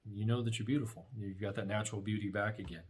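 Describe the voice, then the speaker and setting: A man's voice: lowish voice, fairly even intonation, fast. A man chatting casually and supportively as a co-speaker, encouraging and rephrasing his point as he goes. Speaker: vlogger's husband as co-speaker.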